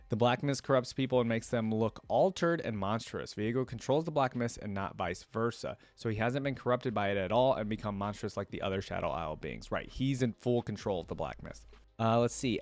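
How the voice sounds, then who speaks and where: Guy with a lowish voice doing a reaction vid, reads stuff out and comments casually, really dry sound. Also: no room sound. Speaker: reaction video host. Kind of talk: reading aloud with casual off-the-cuff commentary.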